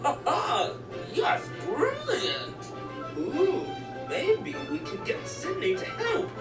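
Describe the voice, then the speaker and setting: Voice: very high-pitched, wildly swooping pitch, slow, heavily acted. An actor voicing an eager, gushing young diesel engine sidekick in a cartoon fan dub. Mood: excited, eager. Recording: echoey, distant, loud music behind.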